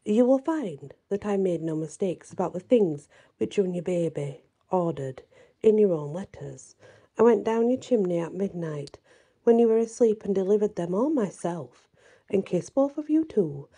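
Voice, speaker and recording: Female storyteller, very mellow and muted in tone, husky, reading a children's tale gently. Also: medium pitch. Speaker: channel host and story reader.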